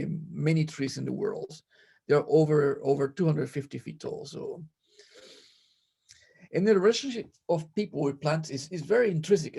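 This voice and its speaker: A man in his sixties from Venezuela, giving a halting conference talk over a video call. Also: a little high, creaky, warm tone, husky. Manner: halting, many fillers and restarts. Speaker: conference presenter, ethnobotanist. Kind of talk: academic slide presentation.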